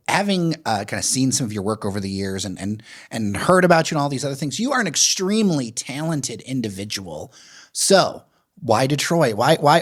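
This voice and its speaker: A man hosting a casual local interview podcast, conversational and thinking aloud as he asks questions. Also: medium pitch. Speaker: podcast host.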